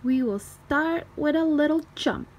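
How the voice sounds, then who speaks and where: A woman's voice: high-pitched, lively intonation, unhurried, a little mellow, slight background noise, pitched above the performer's own voice. Woman voicing a graceful, lilting gazelle ballet teacher. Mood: welcoming.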